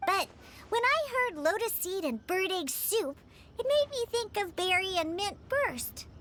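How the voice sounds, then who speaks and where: An actress voicing a small, shy cat-eared girl who gets excited easily. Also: extremely high pitch, a little slow, slight background noise, heavily acted. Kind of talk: game cutscene voice acting.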